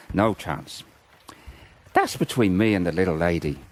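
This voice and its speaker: An actor playing a roguish, lovelorn soldier in a First World War radio drama, with a very animated delivery. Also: male voice, low voice, takes it slowly, full character voice. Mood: cheeky, amused. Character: roguish, lovelorn Irish soldier in WW1 trenches.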